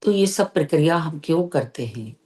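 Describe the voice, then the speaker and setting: A meditation teacher leading a guided session, giving gentle instructions with long pauses between phrases. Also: medium pitch, animated.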